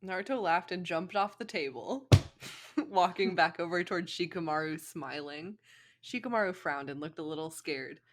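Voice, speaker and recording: Young female fan fiction narrator, slightly low pitch, playful, giggly, informal banter. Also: normal speed, slight background noise. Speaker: co-host, fan fiction reader.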